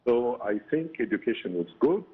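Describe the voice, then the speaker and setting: A man with an Egyptian accent giving halting, explanatory answers as an expert guest in a broadcast interview, often saying "you know". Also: medium pitch, very animated, husky. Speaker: political science professor, interview guest. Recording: muffled, narrow phone-like line.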